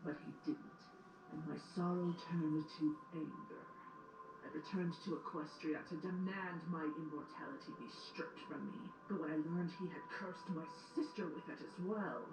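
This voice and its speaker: A woman's voice: sits low, steady tone, normal speed. A woman playing a bitter, regretful female pony in an audio drama, confessing with dramatic weight. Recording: echoey, distant television-like playback, clear noise.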